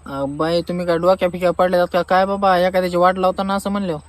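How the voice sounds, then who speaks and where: A man's voice: sits high, little pitch movement, fast talker, background noise, natural voice. A man playing an aggrieved, sarcastic farmer with a rural Maharashtrian Marathi accent, complaining in a comic phone-call skit. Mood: indignant, mocking. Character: aggrieved, sarcastic male farmer demanding refund.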